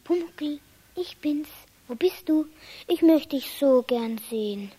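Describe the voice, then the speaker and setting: A woman's voice: sits high, lively intonation, slow, mellow, low-quality recording. A woman playing an affectionate, stubborn little girl with a pleading childlike manner in a children's radio play. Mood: hushed, coaxing.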